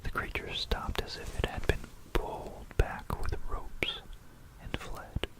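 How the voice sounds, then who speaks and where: An ASMR host whispering a rambling, informal history narration in a breathy, unvoiced voice, halting and musing, close to a binaural microphone. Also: poor audio. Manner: halting, musing, repeats phrases.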